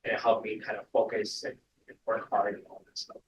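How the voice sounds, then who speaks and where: A man's voice: fairly low pitch, monotone, a little fast. A man at an educators' workshop introduces himself in a casual, offhand way. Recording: distant, echoey and dull room pickup.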